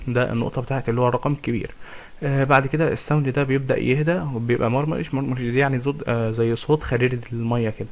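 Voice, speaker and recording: A young Egyptian man rattles through a medical revision lesson at a breakneck pace. His voice sits at a middle pitch, and he keeps breaking off with fillers and restarts. He quizzes his listeners as he goes. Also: steady tone, very fast. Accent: Egyptian Arabic. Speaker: medical tutor. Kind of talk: fast exam revision lecture.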